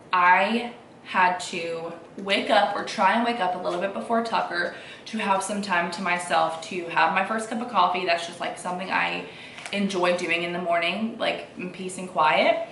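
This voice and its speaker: A woman's voice: medium pitch, moderate pace, a bit bright. A young woman vlogging at home in a casual, rambling, informal way, with a slightly congested voice. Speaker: vlogger and mother of a young child. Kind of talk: casual chat to camera. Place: indoors at home. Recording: roomy echo, distant mic, faint noise.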